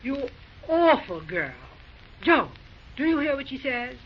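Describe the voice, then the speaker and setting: Snobbish middle-aged mother, radio melodrama; haughty, wildly varied pitch, theatrical indignation. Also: very animated, unhurried. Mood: outraged. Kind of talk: 1940s radio drama acting. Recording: dull old radio recording, faint noise.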